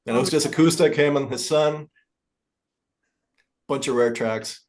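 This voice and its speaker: A man in his forties chatting as a podcast guest, friendly and quick to joke. His voice sits at a medium pitch. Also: a little mellow. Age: 40s. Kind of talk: casual chat.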